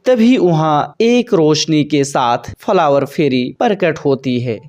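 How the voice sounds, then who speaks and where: A woman reading scripted narration for a children's animated bird story. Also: deep voice, slow pace.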